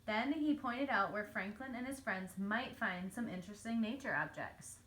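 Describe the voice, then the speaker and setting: A woman reading a children's story aloud as narrator, telling it clearly for young children. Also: medium pitch, lively intonation, normal speed, a bit bright, a little hiss or hum, poor audio. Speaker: storybook reader.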